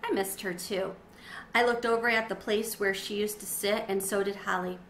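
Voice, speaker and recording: A middle-aged woman reading a children's story aloud expressively, with clear storytelling phrasing. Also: medium pitch, steady tone, moderate pace, a bit bright. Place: small windowed sunroom at home. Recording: roomy echo, faint background noise.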